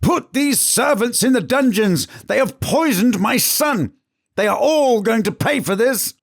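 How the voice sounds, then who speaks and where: A man's voice: very high voice, lively intonation, measured pace, gravelly, dry recording, clean studio sound, voice pushed up, heavily acted. A man voicing a middle-aged queen who screeches frantic orders in hysterical grief.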